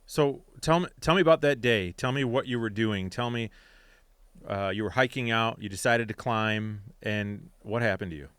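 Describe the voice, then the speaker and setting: A man's voice: fairly low pitch, moderate pace, a bit bright, clear, resonant tone, dry recording. A man hosting an interview, enthusiastic and a bit hesitant with his questions. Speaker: host. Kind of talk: casual interview.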